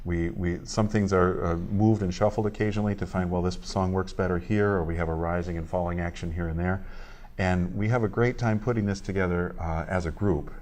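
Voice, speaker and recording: A man being interviewed as a theatre director, explaining a show in a measured way with short halting pauses. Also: sits low, steady tone, a little mellow.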